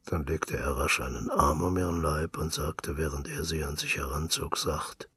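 Deep gravelly guy reading literary fiction, really breathy and husky, measured intimate storytelling. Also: steady tone, fairly bright tone, no room sound. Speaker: narrator. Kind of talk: scripted literary narration.

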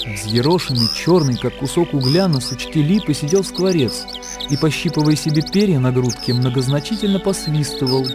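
A man narrating literary fiction for a radio drama in a measured, expressive storytelling manner. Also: fairly high pitch. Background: music.

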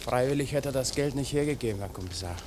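An actor playing a resigned small-time burglar confessing to police in a terse, matter-of-fact way, in a German crime radio drama. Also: male voice, medium pitch, lively intonation, quick pace, darkish tone, lightly acted. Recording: noisy old radio drama recording.

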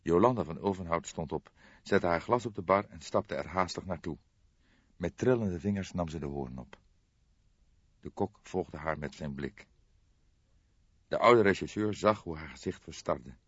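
A man's voice: low voice, animated, normal speed, long pauses, breathy edge, no echo, lo-fi sound. A man reading a crime novel as scripted audiobook narration.

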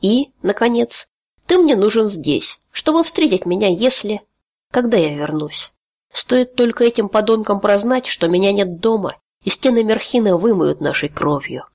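A woman voicing a weary, grim male executioner who speaks slowly, quietly and with resignation, in an audiobook. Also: mid-range voice, lively intonation.

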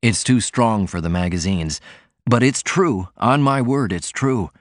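A man's voice: fairly low pitch, lively intonation, measured pace, no room sound, clean studio sound. A man voicing a fervent young writer. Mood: insistent. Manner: fervent, emphatic. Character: earnest, stubborn young male writer, passionate.

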